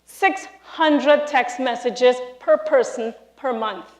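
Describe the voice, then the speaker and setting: A woman from Trinidad with a Trinidadian accent, a lecturer giving a lively, emphatic live talk to an audience in a large hall. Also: high-pitched, takes it slowly. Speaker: speaker, university lecturer.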